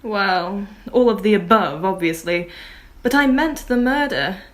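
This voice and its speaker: A haughty lady of the manor, played by a woman who speaks with mid-pitched, genteel archness, full of pointed remarks. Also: unhurried, close to an everyday voice. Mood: arch. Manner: genteel, arch.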